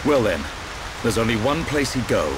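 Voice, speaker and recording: A man playing a calm, measured and seasoned warrior leader in a video game cutscene. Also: mid-range voice, noisy background, lightly acted. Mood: calm, considered.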